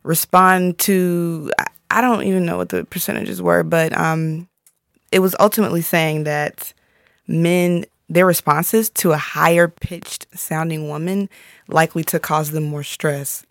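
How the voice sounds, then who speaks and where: A woman on her first podcast visit, speaking softly and a bit hesitantly, with a low voice for a woman. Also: measured pace. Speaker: podcast guest. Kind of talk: casual podcast chat. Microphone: studio microphone.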